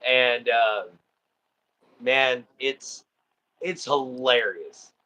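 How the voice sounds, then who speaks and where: A man with a Texan drawl talks loosely to his viewers, wandering from thought to thought with plenty of ums. His voice has a bright, forward edge at an ordinary middle pitch. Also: fairly even intonation, very slow, dull audio. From Southeast Texas. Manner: rambling, easygoing, many ums and uhs. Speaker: livestream host. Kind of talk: casual chat.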